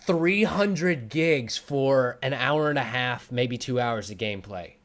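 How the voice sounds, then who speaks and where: Man with a mid-pitched voice. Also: animated, slow. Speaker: host. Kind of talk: casual chat.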